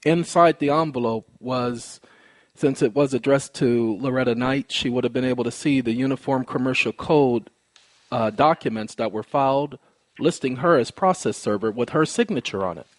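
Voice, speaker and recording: A man's voice: medium pitch, normal speed, no echo. A man speaking in a formal, polite but insistent way, explaining a complaint in precise wording. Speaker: caller, presenter of own recorded phone call.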